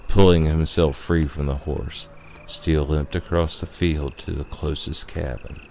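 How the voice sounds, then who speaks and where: A man's voice: very deep voice, steady tone, measured pace, creaky, darkish tone, slight background noise. A man telling a horror story as a dramatic scripted narration. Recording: music under the voice.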